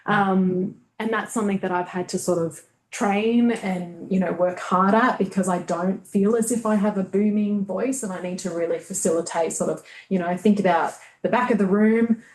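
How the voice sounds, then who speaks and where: A woman's voice: mid-range voice, steady tone, normal speed, few pauses, reverberant, slight background noise, rough recording. A woman, a guest on a webinar, talking through presentation tips in a thoughtful, searching way over a video call. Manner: thoughtful, many fillers and restarts. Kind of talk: casual webinar conversation.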